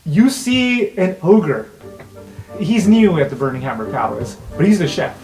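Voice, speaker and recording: A man's voice: a little high, animated, unhurried, darkish tone, poor audio. A man narrating an improvised tabletop roleplaying game as game master. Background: music.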